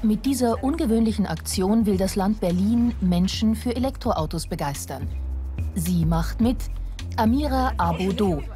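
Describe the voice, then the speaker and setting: A woman reading scripted documentary narration in an even, informative way. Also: mid-range voice, moderate pace. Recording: voice-over over music.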